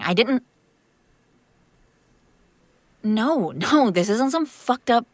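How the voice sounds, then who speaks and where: A woman's voice: a little high, no echo. Dry, close-sounding woman acting as a vampire master. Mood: flustered, defensive. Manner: conversational, defensive, sardonic. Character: female vampire master, exasperated yet protective caretaker. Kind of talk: intimate audio roleplay acting, one side of a conversation.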